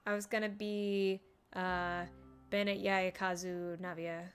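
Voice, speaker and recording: A woman's voice: on the low side, flat delivery, takes it slowly. A woman streaming a game, giving casual, playful, reactive live commentary. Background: game music.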